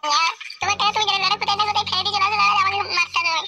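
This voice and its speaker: A woman's voice: very high voice, fairly even intonation, very fast, pushed and bright, slight background noise, exaggerated voice. A woman voicing a lazy, cheeky young son who whines and talks back in a comedy cartoon. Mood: defensive. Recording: music behind the voice.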